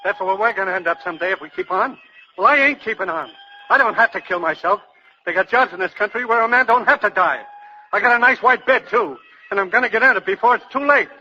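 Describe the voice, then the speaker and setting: An actor playing a weary, irritable, bitter truck driver, grumbling in working-class slang in a 1940s radio drama. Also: male voice, sits high, animated, quick pace, bright, forceful tone, exaggerated voice. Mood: distraught. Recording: dull old radio recording, faint noise.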